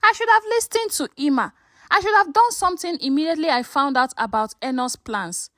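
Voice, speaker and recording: Woman voicing an anxious, high-pitched husband. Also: expressive, a little fast, a bit bright. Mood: panicked. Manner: careful, defensive. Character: anxious married man, polite, pleading with hostile in-laws.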